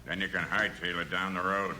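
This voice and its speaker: A man playing a blunt, gruff outlaw henchman with an American accent in a 1950s radio western. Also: low voice, fairly even intonation, brisk, sharp tone. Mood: urgent. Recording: noisy vintage radio recording.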